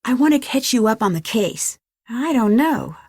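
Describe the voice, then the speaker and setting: A woman's voice: a little high, sing-song, normal speed, darkish tone, husky, dry recording, clean studio sound, exaggerated voice. An actress playing a curious, level-headed young female detective, chatting earnestly and a little wryly in a video game. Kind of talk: conversational game dialogue, one side of phone calls.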